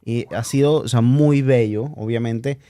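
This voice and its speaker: A man speaks as a guest in an informal, reflective chat. His tone is dark and relaxed at a medium pitch. The recording is dry and close. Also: measured pace, dry recording. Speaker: podcast guest. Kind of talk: casual chat, personal reflection.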